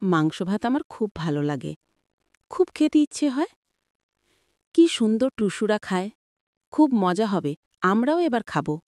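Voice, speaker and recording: A woman's voice: medium pitch, big pitch swings, unhurried, long pauses, a little mellow, dry recording, clean studio sound. A woman voicing an eager, innocent little village girl in a cartoon story. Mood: hopeful. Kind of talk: Bangla cartoon story dubbing.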